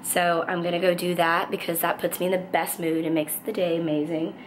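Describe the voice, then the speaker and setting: Hoarse, husky young woman talking straight into a handheld camera in a small bedroom, chatty and upbeat despite a worn, scratchy voice. She rambles casually through her morning plans, with faint ambient noise behind her. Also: fairly low pitch, little pitch movement, a little fast. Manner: bubbly, giggly, chatty. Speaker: vlogger. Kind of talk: casual vlog chat. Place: bedroom at home, indoors. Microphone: handheld camera at arm's length.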